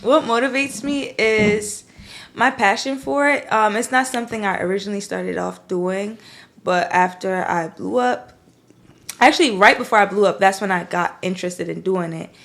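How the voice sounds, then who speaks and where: A woman's voice: mid-range voice, normal speed, vocal fry, a bit bright. Young Jamaican woman, podcast guest, easygoing casual talk, plenty of fillers. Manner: laid-back, many fillers like "like" and "you feel me". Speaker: podcast guest, content creator. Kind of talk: casual chat.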